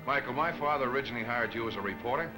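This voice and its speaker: An actor playing a suave, flippant young newspaper boss in a brisk, clipped 1940s serial style. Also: male voice, mid-range voice, lightly acted, low-quality recording. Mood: flippant, dismissive. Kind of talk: 1940s film serial acting. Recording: old film soundtrack, faint music behind.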